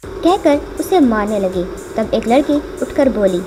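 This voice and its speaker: A woman's voice: fairly high pitch, animated, measured pace, dark tone. A woman reading scripted narration for a children's story as its narrator. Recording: music behind the voice. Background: music.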